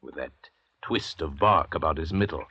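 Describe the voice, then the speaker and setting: Deep-voiced, coldly commanding convict doctor. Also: male voice, pushed and bright, slightly rough, exaggerated voice. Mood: haughty disdain. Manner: measured, condescending, eloquent. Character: cold, arrogant, commanding convict doctor, calculating leader of escapees. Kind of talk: old-time radio drama acting. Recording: dull, noisy vintage radio recording.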